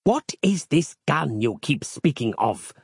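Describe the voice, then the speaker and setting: A man's voice: a little high, sing-song, measured pace, no room sound, clean studio sound, pitched above the performer's own voice. A man voicing a pompous, archaic warrior-monk who speaks in a bombastic, sermonizing way. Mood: grandiloquent. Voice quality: theatrical, archaic speech.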